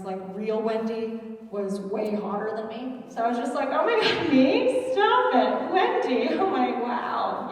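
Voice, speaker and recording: A young adult woman doing a loud, chatty, self-mocking stand-up comedy set on stage. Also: fairly high pitch. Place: large hall at a culture show. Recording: echoey hall, mic heard from far off.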